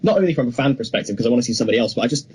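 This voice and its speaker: A man with a very husky, breathy voice at an ordinary pitch, co-hosting a casual podcast. He talks in an opinionated, conversational way, putting weight on his views. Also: lively intonation, very fast, a little mellow. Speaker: podcast co-host. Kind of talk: casual film news chat.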